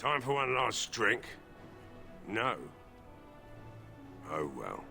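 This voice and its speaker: A man playing a bitter, drunken village blacksmith in a fantasy video game, gruff, resigned and sardonic. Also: mid-range voice, huge pitch swings, extremely slow, exaggerated voice. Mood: resigned, fatalistic. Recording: game audio with music behind.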